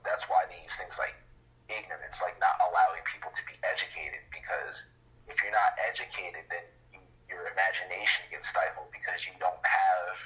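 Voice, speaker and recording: Male interview guest, very bright forceful voice, lowish, emphatic explainer, casual, dull phone-line sound. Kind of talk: casual conversation. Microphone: phone call.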